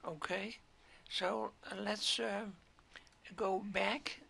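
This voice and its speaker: A hoarse man talking through a 3D modeling tutorial step by step, halting, often clearing his throat and dropping to a whisper. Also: sits high, fairly even intonation, bright, forceful tone, slight background noise. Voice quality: gravelly, keeps clearing throat. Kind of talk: screen-recorded software tutorial.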